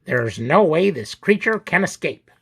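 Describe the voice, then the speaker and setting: A man's voice: fairly high pitch, sing-song, a little slow, gravelly, slightly rough, voice pushed up, exaggerated voice. A man voicing a greedy, boastful male mouse doctor in a storybook, proclaiming grandly. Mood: boastful, confident.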